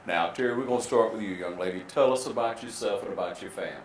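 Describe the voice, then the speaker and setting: A man's voice: lowish voice, quick pace, reverberant, a little hiss or hum. A man hosting a local TV interview, asking friendly, folksy questions. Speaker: TV show host.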